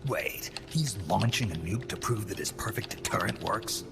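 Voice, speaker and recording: An actor playing a hardened, terse male mercenary soldier in a game cutscene, with a deep gravelly murmur. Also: lively intonation, normal speed. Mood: hushed. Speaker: game character. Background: game score music.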